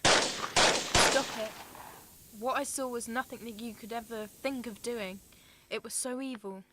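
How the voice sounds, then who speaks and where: A woman's voice: fairly high pitch, steady tone, a little slow, heavy background noise, close to an everyday voice. A woman playing a grieving mother. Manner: grief-stricken, shaken. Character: grieving older sister, mother who lost her sons. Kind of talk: amateur radio drama acting.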